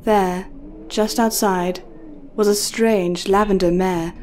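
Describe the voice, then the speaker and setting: A woman's voice: medium pitch, steady tone, takes it slowly, darkish tone, slight background noise. A woman reading scripted story narration as the narrator of a tale.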